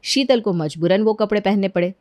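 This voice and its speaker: A woman's voice: mid-range voice, a little fast, darkish tone, no echo, clean studio sound. A woman narrating a scripted cartoon bedtime story as the storyteller.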